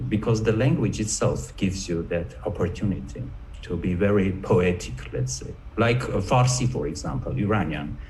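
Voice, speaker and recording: Man, low voice, English, measured panelist on a video call. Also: darkish tone, slight background noise, poor audio. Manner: measured, clears throat, halting non-native phrasing. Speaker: panelist writer. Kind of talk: panel discussion.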